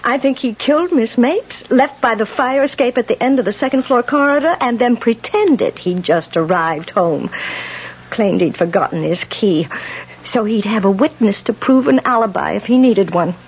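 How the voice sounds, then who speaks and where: An actress playing an anxious, suspicious boarding house matron in a 1970s radio drama, speaking emphatically. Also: female voice, fairly high pitch, lively intonation, normal speed, breathy edge. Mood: fearful, insistent. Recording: dull vintage radio broadcast.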